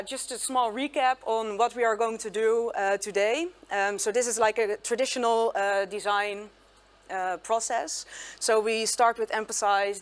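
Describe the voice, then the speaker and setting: A woman, an English speaker, hosting a design meetup and introducing speakers in a friendly, hesitant way with many pauses. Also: medium pitch. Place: meetup venue, hybrid event.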